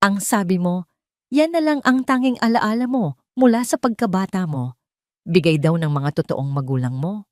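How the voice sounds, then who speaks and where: A woman's voice: mid-range voice, lively intonation, moderate pace, no room sound, clean studio sound, close to an everyday voice. A woman voicing an urgent, devoted young man in a romantic audio story. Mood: questioning.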